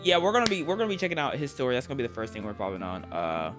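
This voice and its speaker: A man's voice: mid-range voice, racing, music in the background. A tired young man live-streaming late at night, rambling and joking with his chat, often repeating and restarting his words. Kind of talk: casual live-stream chatter.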